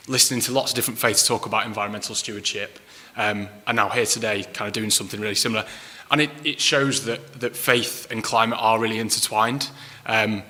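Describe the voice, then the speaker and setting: A man's voice: mid-range voice, bright, forceful tone. A young man from Manchester with a Mancunian accent, giving an informal talk as a council climate officer, friendly and full of ums. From Manchester, England. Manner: friendly, lots of ums and kinda. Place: large hall at a place of worship. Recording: reverberant hall.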